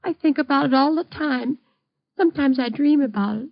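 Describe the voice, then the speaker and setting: A high, crackly-voiced middle-aged mother, bedridden and afraid. She pleads and frets in haunted radio-drama style, on a dull vintage recording. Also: moderate pace, creaky. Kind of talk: old-time radio drama acting.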